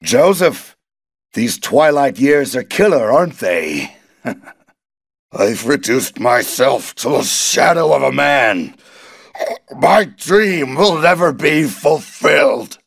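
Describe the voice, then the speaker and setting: A man's voice: medium pitch, lively intonation, slow, creaky, no echo, clean studio sound, heavily acted. A man playing a bitter, obsessed wizard villain in a video game, theatrical and menacing. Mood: bitter, ruthless.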